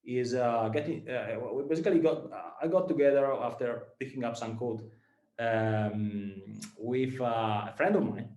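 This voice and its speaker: A man from Italy with an Italian accent, a startup founder giving a talk to students, speaking haltingly with many fillers and repeated words. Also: mid-range voice, a little mellow, echoey room.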